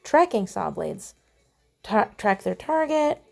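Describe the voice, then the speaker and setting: A woman's voice: mid-range voice, very animated, slow, gravelly, darkish tone, soft background music. A woman giving casual game commentary, chatty and playful, reading on-screen text aloud. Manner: chatty, mild playful exclamations. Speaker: gamer voicing a playthrough.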